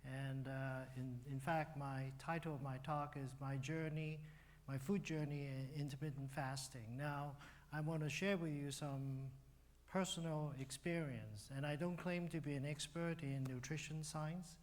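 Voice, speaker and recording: A man, a doctor giving a relaxed, conversational talk at a church, with fillers and restarts. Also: on the high side, unhurried. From Hong Kong. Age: 60s. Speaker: guest speaker, physician.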